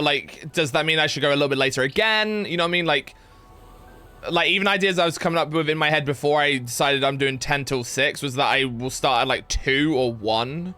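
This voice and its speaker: A young man talks loosely through a game stream, piling up fillers and breaking into yelps. His voice sits a little high and comes across bright and forceful, with faint music underneath. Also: fairly quick, smooth. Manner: rambling, filler-heavy, reads chat aloud, yelps with excitement. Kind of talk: casual live-stream gameplay chat.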